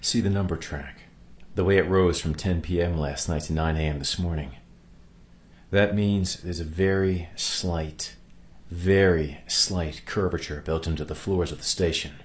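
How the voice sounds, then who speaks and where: Guy acting as a really low voiced space commander, tired and practical, thinking out loud, radio drama. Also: steady tone, a little slow. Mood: intent, grave. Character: commanding officer of a stranded space crew, pragmatic, weary man. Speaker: actor in a radio play. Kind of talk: naturalistic radio play acting.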